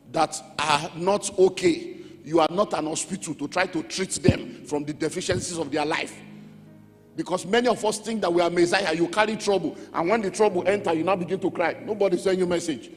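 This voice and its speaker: A man with a Nigerian accent preaching as a pastor, emphatic, repeating key phrases and checking in with his listeners. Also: sits high. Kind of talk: sermon teaching on relationships. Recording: echoey hall with music underneath.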